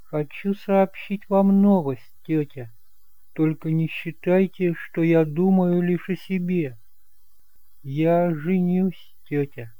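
Мужчина играет искреннего, решительного и вежливого молодого человека в аудиоспектакле. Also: male voice, high-pitched, slow, no echo, narrow, tinny audio, voice pushed up. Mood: earnest. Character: earnest, determined young man, reassuring nephew and heir. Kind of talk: audiobook drama acting.

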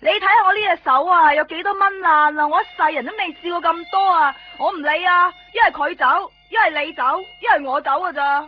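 A woman's voice: very high-pitched, brisk, hardly any pauses. An actress playing a fed-up, nagging young girlfriend in a Cantonese radio drama from Hong Kong, with a whining, complaining delivery. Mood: whiny, exasperated. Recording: muffled 1990s radio drama over music.

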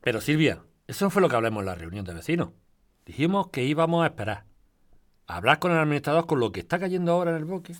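Actor doing a spanish guy who runs the residents association, super expressive up and down voice, audio drama. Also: on the high side, fast. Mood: exasperated. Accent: Spanish from Spain. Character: irritable yet flirty male residents' association president, builder.

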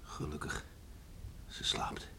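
An actor in a Dutch radio play, playing a stern, stubborn middle-aged farmer father troubled by remorse, brooding and halting. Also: pushed and bright, in a whisper. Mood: desolate, muttering. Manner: brooding, halting, muttering to himself. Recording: faint background noise on radio recording.

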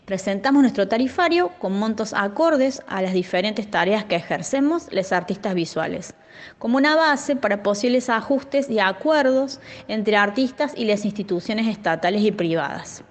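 A woman's voice: medium pitch, lively intonation, moderate pace. A woman advocating for visual artists, reading a statement and then speaking firmly.

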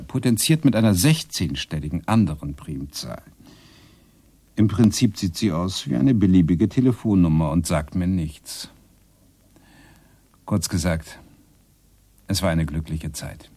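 Low-voiced, wistful bookish male narrator. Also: expressive, a little slow, long pauses, a little mellow, husky, dry recording, heavily acted. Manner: reflective, literary. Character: wistful bookish man recounting his time in Texas. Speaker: actor playing the first-person narrator. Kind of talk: radio play narration.

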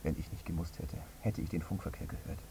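An actor playing a guilt-ridden airline pilot in a radio drama, fretful and subdued, brooding repetitively. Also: male voice, rumbling low, steady tone, fast, creaky, warm tone. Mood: guilty, brooding. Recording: muffled, dull, faint background noise.

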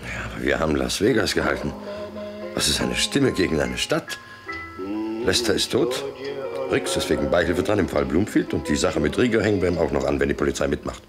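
Casting: cynical gangland ruler, bottomless low voice, animated delivery, old radio play with music behind. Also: male voice, a little fast, heavily acted. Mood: cold, triumphant. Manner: cynical, self-assured. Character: cold, scheming male crime boss who rules the city. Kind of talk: 1960s German radio crime drama acting.

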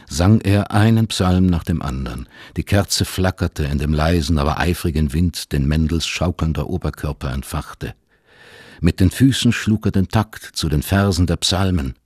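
A man narrates a literary radio play in a low, husky voice. He tells the story in measured, weighted phrases, recorded very dry with no room sound. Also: a little mellow, dry recording.

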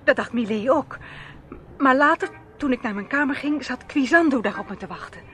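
A woman's voice: high-pitched, animated, brisk, fairly bright tone. An actress playing an anxious, earnest young woman in a radio play, speaking hesitantly. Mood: shaken, earnest. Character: Linda West, anxious young woman seeking her brother. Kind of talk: Dutch radio play acting. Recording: noisy old radio play recording.

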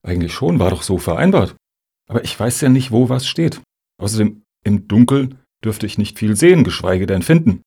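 An actor playing an unsure, deferential applicant in a satirical radio play, with a gravelly, husky voice and a hesitant manner. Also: male voice, fairly low pitch, very animated, normal speed, warm tone, exaggerated voice. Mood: uneasy. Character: hesitant, unsure male applicant for foundation money.

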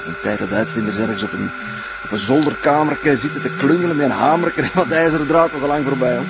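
A Flemish actor playing a proud, self-assured and secretive hobby inventor in a television crime drama. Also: male voice, medium pitch, fast, heavy background noise, natural voice. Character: proud male hobbyist inventor showing off his workshop. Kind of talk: naturalistic TV crime drama acting.

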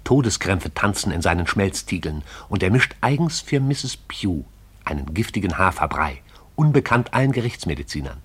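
An actor as the narrator of a poetic radio drama, telling it in a lyrical, wry storytelling manner. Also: male voice, low voice, sing-song, moderate pace, breathy edge, full character voice.

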